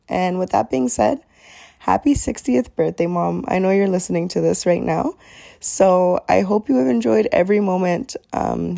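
A young woman hosting her own podcast in a sincere, chatty solo talk, her voice a little raspy today, with frequent "um"s. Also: on the low side, mellow. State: slightly raspy voice. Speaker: podcast host.

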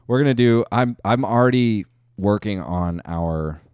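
A man's voice: lowish voice, a little slow, darkish tone, clean tone, dry recording, studio quality. A man co-hosting a podcast, rambling and swearing freely in crude casual banter. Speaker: podcast co-host. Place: podcast studio.

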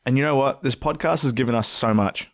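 A man hosting a podcast in loose, joking banter, often breaking into laughter and restarting his sentences. His voice sits at an ordinary middle pitch for a man. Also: brisk. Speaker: podcast co-host. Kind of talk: casual banter.

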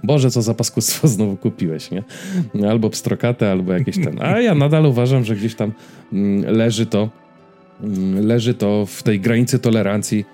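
A man's voice: fairly low pitch, moderate pace, mellow, faint music behind. A man in his thirties chatting casually as a podcast host, rambling and hesitant, often repeating words as he goes. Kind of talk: casual chat about personal habits and series.

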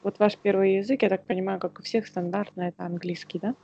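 A woman from Ukraine co-hosting a casual podcast, speaking in a halting, searching way. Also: medium pitch, steady tone, vocal fry, dark tone, muffled recording. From Kyiv, Ukraine. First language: Russian. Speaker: podcast co-host.

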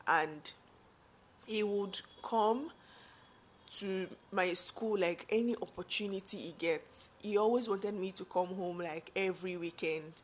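Deep-voiced Nigerian woman telling a story. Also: a little hiss or hum. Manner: chatty, full of fillers and asides. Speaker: vlogger. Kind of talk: personal storytelling to viewers.

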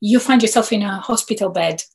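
Husky Romanian-born woman telling her story. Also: mid-range voice, darkish tone. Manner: halting, restarts often, emotional. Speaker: guest. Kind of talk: personal story in an interview.

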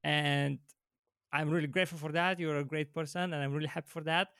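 A man hosting a YouTube interview, talking in a halting, eager way with many restarts. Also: fairly high pitch, steady tone, fairly bright tone, clear, resonant tone, no echo, studio quality. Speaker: YouTube channel host. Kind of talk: casual interview.

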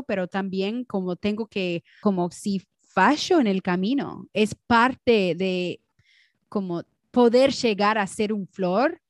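A woman co-hosting a casual podcast chat, relaxed, dropping in English words and laughing often. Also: medium pitch, no echo. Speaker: podcast co-host.

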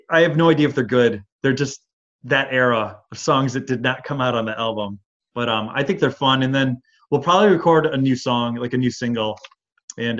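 A man's voice: medium pitch, fairly bright tone. Midwest michigan guy, kinda nasal, rambling band stories, dull lo-fi sound. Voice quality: slightly nasal, as if with a cold. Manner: rambling, storytelling, many fillers. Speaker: band singer and guitarist, guest. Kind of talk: casual interview chat.

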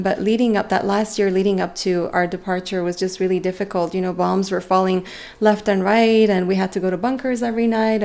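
A woman in her forties who grew up speaking French answers as an interview guest. Her voice sits at a middle pitch with a creaky edge and stays fairly flat in melody. She speaks in a reflective, earnest, conversational way. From Lebanon and Montreal, Canada. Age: 40s. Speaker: interview guest, real estate agent. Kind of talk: conversational personal interview storytelling.

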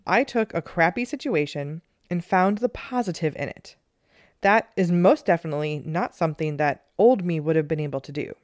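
A middle-aged woman with a low, soothing voice, hosting a meditation podcast in a gentle, earnest solo talk. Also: lively intonation, moderate pace, no echo, clean studio sound. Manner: gentle and earnest, with light laughs.

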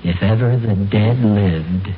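A man's voice: on the low side, takes it slowly, slight background noise. An actor playing a flustered, easily spooked suburban father in a stammering, exasperated 1940s radio sitcom style. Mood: absorbed. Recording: muffled old radio broadcast, music behind.

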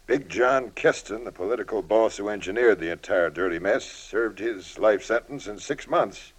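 A man's voice: fairly low pitch, animated, normal speed, breathy edge. An actor playing an inquisitive man questioning a sleuth in an old-time radio drama. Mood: inquiring. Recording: old radio broadcast recording.

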